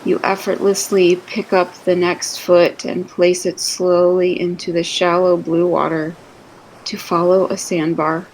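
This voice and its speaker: A woman narrating a scripted guided meditation in a soothing, deliberate manner with gentle pauses between phrases. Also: on the low side, little pitch movement, a little hiss or hum, rough recording.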